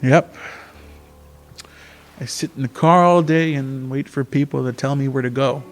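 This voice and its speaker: A man playing a gentle, reassuring young taxi driver in an amateur radio drama, speaking haltingly. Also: mid-range voice, steady tone, lightly acted. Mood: easygoing. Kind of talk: amateur radio theatre acting. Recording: faint music behind the voice.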